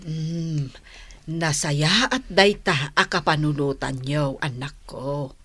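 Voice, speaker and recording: A woman acting a caring older mother in an Ilocano radio drama, giving measured, earnest counsel. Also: low voice, a bit bright, dry recording, exaggerated voice. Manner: measured, advising.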